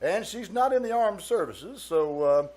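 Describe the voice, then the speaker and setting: A man's voice: high voice, expressive, measured pace, darkish tone. A man playing a pompous, jealous, easily flustered middle-aged businessman in a 1940s radio comedy style. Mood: grumbling. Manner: blustering, sputtering.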